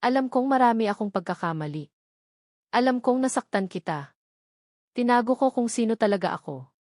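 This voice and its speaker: A woman's voice: on the high side, lively intonation, a little fast, darkish tone, no echo, clean studio sound, natural voice. A Filipino woman voicing an earnest, remorseful young prince who declares his love in an audiobook romance, pleading solemnly.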